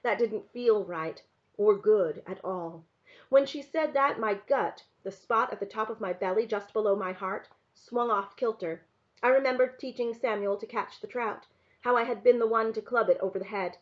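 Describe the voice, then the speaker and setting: A woman reading a first-person story aloud in an expressive narrating style. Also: mid-range voice, normal speed, lo-fi sound. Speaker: reader reading a novel aloud to a class.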